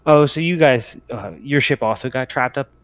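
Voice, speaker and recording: A man voicing a casual, cocky young male scavenger in a tabletop game, rough-spoken and matter-of-fact. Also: mid-range voice, moderate pace, no room sound, pitched above the performer's own voice, lightly acted. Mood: friendly, casual. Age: young adult.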